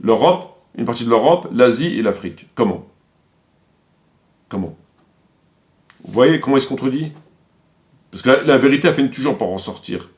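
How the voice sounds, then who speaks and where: A man's voice: medium pitch, animated, moderate pace, long pauses, darkish tone. Insistent man arguing a point. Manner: insistent, repeats phrases for emphasis; accusatory, polemical. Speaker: commentator presenting a video. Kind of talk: argumentative spoken commentary on religion.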